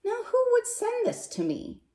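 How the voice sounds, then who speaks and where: A woman voicing a lonely, polite man who is newly delighted, in an expressive storybook read-aloud full of wonder. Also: very high-pitched, unhurried, pitched above the performer's own voice, exaggerated voice. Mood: puzzled wonder. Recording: roomy, echoey sound.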